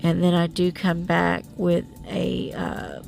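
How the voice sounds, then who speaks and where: A woman's voice: lowish voice, monotone, slow pace, vocal fry, a little mellow, soft background music. A woman vlogger narrating her beading in a casual voiceover, with a rambling, self-deprecating way of talking and a stuffy, sniffly nose.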